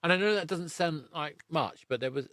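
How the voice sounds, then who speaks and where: A man's voice: on the high side, normal speed, pushed and bright, no echo, studio quality. A man hosting a casual interview in a conversational way, often interjecting. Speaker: podcast host, interviewer.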